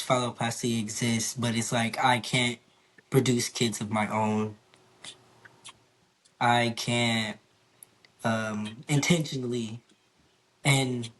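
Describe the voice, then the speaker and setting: A young man talking candidly in a casual podcast chat, open and earnest, his voice a little hoarse. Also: mid-range voice, fairly bright tone. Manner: earnest, open, rambling with fillers. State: slightly hoarse. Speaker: podcast guest.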